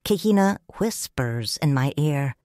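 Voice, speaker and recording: A woman narrating a first-person audiobook story in a measured, solemn and expressive way. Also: low-pitched, no echo, clean studio sound.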